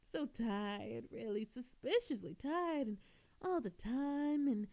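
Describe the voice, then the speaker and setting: A woman's voice: on the high side, expressive, slow, a little mellow. A woman playing a smug, flirtatious master thief in an audio roleplay, with a teasing, coy and mock-innocent manner. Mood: sly, smug. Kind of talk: scripted audio roleplay monologue.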